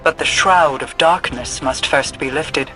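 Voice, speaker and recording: Lofty warlock mystic for a video game, formal and grand, crisp bright voice, bit of music behind. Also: male voice, on the high side, a little slow, poor audio. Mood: commanding. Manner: formal, portentous, precise wording. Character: solemn mystic male warlock, lofty and scholarly. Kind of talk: scripted video game voice acting.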